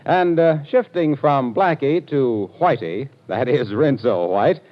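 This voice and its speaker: A man's voice: mid-range voice, lively intonation, slow. A man announcing in a genial, upbeat old-time radio style. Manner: genial, bright salesman's patter. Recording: dull old radio broadcast.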